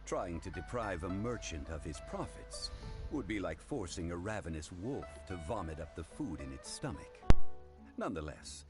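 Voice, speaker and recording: An actor playing a composed, learned gentleman in a video game, speaking formally and philosophically like a lecturer. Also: deep voice, sing-song, normal speed, over music. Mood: reassuring, formal. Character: composed, learned gentleman consultant, aphoristic, unworldly about money. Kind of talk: animated video game voice acting.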